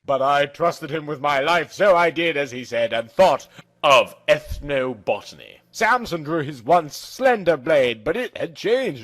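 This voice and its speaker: Higher-pitched man narrating a dramatic story. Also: a bit bright. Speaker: narrator reading a story aloud.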